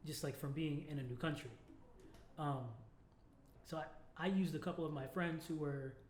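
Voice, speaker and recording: Higher-voiced American man in an echoey room. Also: normal speed, a little mellow. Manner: informal, peppers speech with "like". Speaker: podcast co-host. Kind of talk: casual podcast conversation. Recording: echoey room with faint background noise.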